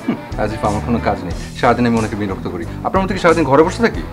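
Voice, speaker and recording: Touchy, defensive young man, played by a man, TV drama: rapid delivery, loud music underneath. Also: mid-range voice, very animated, lightly acted. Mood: irritated, defensive. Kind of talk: Bangla TV drama acting.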